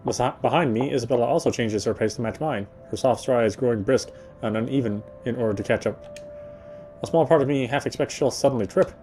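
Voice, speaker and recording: A man narrates a visual novel as he plays it, in a mid-range voice. He reads the prose aloud and now and then trips and corrects himself. Game music plays softly behind him. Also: medium pitch, a little fast. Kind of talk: narration read aloud from a story.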